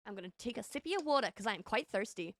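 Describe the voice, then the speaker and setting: A woman's voice: a little high, expressive, very fast, no room sound. Bubbly, giggly British woman streaming. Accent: light British, partly American-sounding. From United Kingdom. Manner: bubbly, giggly, playfully sassy. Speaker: streamer, VTuber artist. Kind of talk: casual live-stream chat reading viewer messages.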